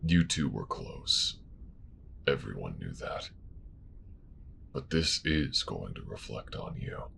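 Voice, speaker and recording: A man playing a stern, world-weary religious official in a fantasy audio drama, gravelly, measured and formal. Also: a bass voice, big pitch swings, bright, forceful tone, a little hiss or hum, full character voice.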